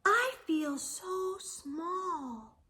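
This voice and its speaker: A woman's voice: extremely high pitch, expressive, drawn-out words, pushed and bright, pitched above the performer's own voice, full character voice. A woman voicing a tiny female snail, wistful, eager and brave, in a storybook reading. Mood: small, awed. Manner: sighing, earnest exclamations.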